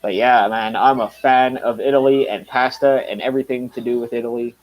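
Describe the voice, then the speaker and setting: A man in his mid-thirties talks loosely on his own live stream, wandering between thoughts with plenty of uhs and restarts. His voice sits at an ordinary middle pitch for a man. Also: steady tone, fairly quick, dull audio. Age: 30s. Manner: rambling, many fillers and restarts. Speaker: channel host. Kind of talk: casual live stream chat.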